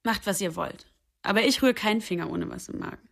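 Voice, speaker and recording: A woman's voice: medium pitch, a little fast, gravelly. An actress in a radio play, playing an indignant young woman caught between rival men, questioning and defiant.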